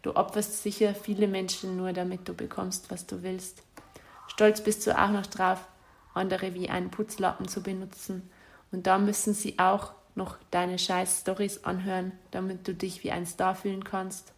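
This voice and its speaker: Gravelly woman reading a bitter letter. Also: mid-range voice, little pitch movement, moderate pace. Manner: bitter and accusatory, insulting. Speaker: spurned ex-partner. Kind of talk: reading out a self-written letter to an ex-partner.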